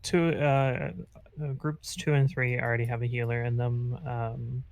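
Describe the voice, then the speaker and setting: A man helping assign groups in casual gaming voice chat, halting as he works through logistics aloud. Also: medium pitch, little pitch movement, vocal fry, dry recording. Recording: faint noise on gaming voice chat.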